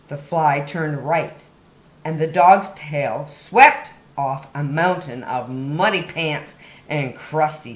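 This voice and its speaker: A woman hosting story time, reading a picture book aloud to young children with deliberate, dramatic emphasis on key words. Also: low-pitched. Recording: roomy, echoey indoor sound.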